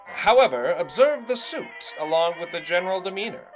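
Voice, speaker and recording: A man's voice: high-pitched, animated, normal speed, soft background music. An actor playing a pompous young radio salesman and announcer in a 1920s audio drama, full of showy old-fashioned patter. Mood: boastful.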